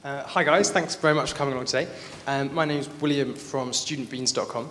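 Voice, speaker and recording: A man's voice: medium pitch, steady tone, a little fast, fairly bright tone. A man in the audience asking a panel a question, hesitant with many ums and restarts. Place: conference room. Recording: hall reverb with faint noise.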